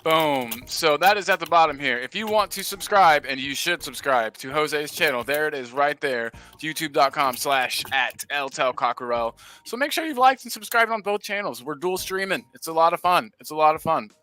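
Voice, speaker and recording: A man from the United States hosting a casual livestream, reading chat comments and giving upbeat shout-outs. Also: on the high side, lively intonation, moderate pace. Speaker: livestream host.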